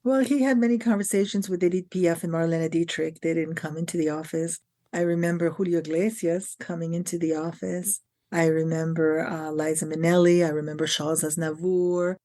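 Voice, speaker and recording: A woman's voice: fairly low pitch, fairly even intonation, fairly quick, mellow. A middle-aged woman from France, a guest on a podcast interview, speaking articulately and emphatically about teaching and directing actors. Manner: articulate and emphatic, builds long lists of phrases.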